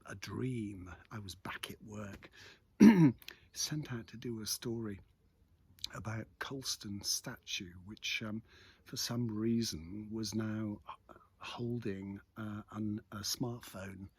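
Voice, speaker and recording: An older man chatting casually about local events in a hesitant, rambling, reflective way, with a husky voice. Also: fairly low pitch, slow pace, darkish tone. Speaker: local news vlogger. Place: outdoors in a quiet churchyard.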